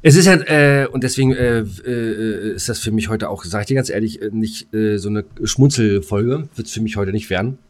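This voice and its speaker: A man ranting casually as a podcast co-host, using crude slang in an agitated, halting way. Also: fairly low pitch.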